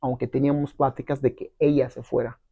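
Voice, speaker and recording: A man's voice: mid-range voice, level tone, fairly quick, creaky, dark tone, husky, no room sound. A middle-aged man whose first language is not Spanish, telling his story in a casual interview, rambling with many fillers and tag questions. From abroad, not Mexico. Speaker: guest entrepreneur.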